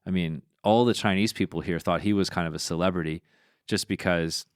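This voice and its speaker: A man's voice: low-pitched, a little fast, smooth, no echo, studio quality. A man hosting a podcast, chatting casually and thinking aloud with many fillers. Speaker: podcast host.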